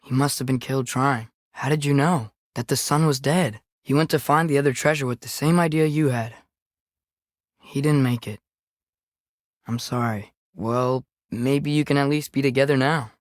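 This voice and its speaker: Young male actor as teenage boy adventurer, American accent, lighter high pitch, curious, earnest, casual, video game dialogue, dry studio sound. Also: dry recording, lightly acted. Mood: curious, suggesting, then somber, reflective. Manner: youthful, casual, questioning. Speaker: video game companion character. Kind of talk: scripted game dialogue, conversational.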